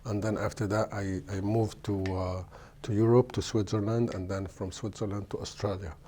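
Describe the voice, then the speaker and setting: Chef guy, middle-aged, mellow low voice, keeps restarting his sentences. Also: flat delivery, slight background noise. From Lebanon. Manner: halting, searching for words with many restarts. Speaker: executive chef. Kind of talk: casual interview answers.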